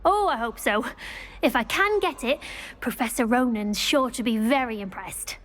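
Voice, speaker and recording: A woman's voice: fairly high pitch, lively intonation, moderate pace, a bit bright. An actress playing an earnest, studious teenage witch in a video game, well-spoken with a British accent. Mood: earnest, eager. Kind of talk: scripted video game dialogue.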